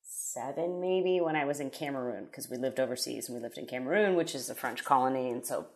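A woman's voice: a bass voice, brisk. A woman in her thirties, a podcast guest chatting casually, rambling and correcting herself as she tells a story. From United States.

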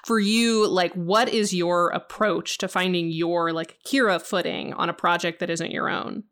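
A woman interviewer with a somewhat low voice keeps the conversation relaxed. She makes wry jokes and asks long, winding questions. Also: measured pace. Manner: wry, joking.

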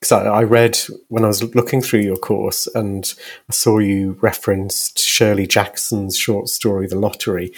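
A man's voice: on the low side, flat delivery, moderate pace, slightly rough. A man interviewing a guest conversationally, asking wordy questions that circle and correct themselves. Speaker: podcast interviewer.

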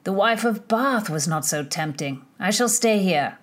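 A woman's voice: mid-range voice, expressive, measured pace, natural voice. A woman voicing a vain, drunken young male earl and poet, declaiming grandly in a storybook reading. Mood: pompous.

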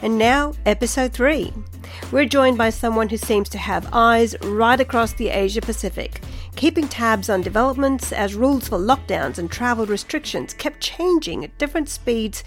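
A woman hosting a podcast, reading a scripted introduction and asking interview questions in a measured way. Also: on the high side, animated, soft background music. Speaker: podcast host.

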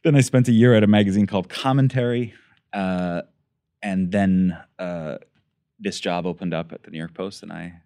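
A man in his thirties, originally from Iran, talking as a guest in a measured way and searching for words. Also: low voice, steady tone, warm tone, clean tone, no room sound. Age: 30s. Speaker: podcast guest, newspaper editor. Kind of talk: casual interview talk.

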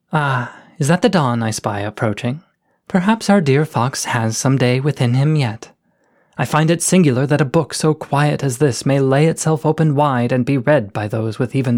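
A man voicing a playful, flirtatious poet coyote of low station, reading florid love letters in a lyrical, teasing way. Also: a little mellow, natural voice.

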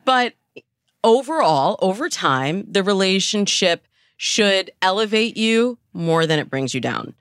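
A woman's voice: medium pitch, very animated, measured pace, fairly bright tone, smooth, dry recording, studio quality. A woman speaking as a dating coach and interview guest, explaining her advice conversationally with illustrative examples.

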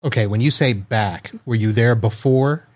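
A man's voice: mid-range voice, normal speed, darkish tone. Man, podcast host, from Florida, casual chat, joking, laughs a lot, video call.

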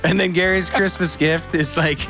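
A man co-hosting a podcast, talking in a halting way, restarting his sentences and laughing easily. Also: fairly high pitch, fairly even intonation, vocal fry, over music. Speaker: podcast co-host. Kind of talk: casual chat.

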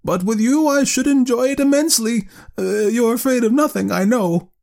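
A man's voice: high-pitched, animated, moderate pace, vocal fry, a little mellow, voice pushed up, heavily acted. A man voicing a determined, eager elderly spinster aunt in an audiobook story. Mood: earnest, eager. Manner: eager, emphatic, firm. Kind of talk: audiobook character voice.

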